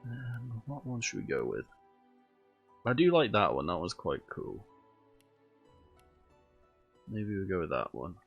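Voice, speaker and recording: A man's voice: low voice, big pitch swings, unhurried, gravelly, long pauses, husky, highs cut off, faint music behind. A man with a cold and a stuffy, nasal voice, chatting casually through a video game while streaming, thinking aloud with lots of ums.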